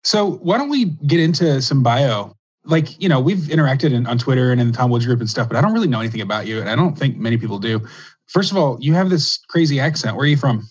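A man's voice: medium pitch, animated, fast, slightly rough. A man hosting an interview podcast, laid-back and joking with his guest. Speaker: podcast host. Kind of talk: casual interview chat.

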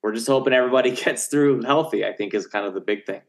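A man's voice: medium pitch, quick pace, gravelly. An American man co-hosting a podcast, chatting casually about sports in an easygoing, noncommittal way. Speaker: podcast co-host.

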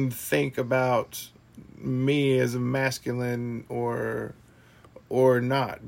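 A man co-hosting a casual discussion podcast, blunt and animated, swearing freely. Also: mid-range voice, gravelly. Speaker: podcast co-host.

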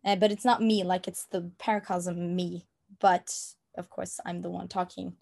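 A young Norwegian-accented woman speaks in a lowish, fairly flat voice. She talks casually in an interview, often pausing with fillers and restarting her sentences. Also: gravelly. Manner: hesitant, many fillers and restarts. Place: room at home.

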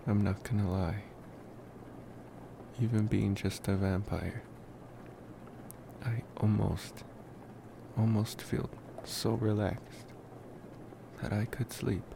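A man playing a devoted, protective young college vampire in an intimate ASMR roleplay, speaking softly with musing pauses. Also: gravelly. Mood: relaxed, confiding. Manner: soft, unhurried, musing with long pauses. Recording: noisy, steady background rumble.

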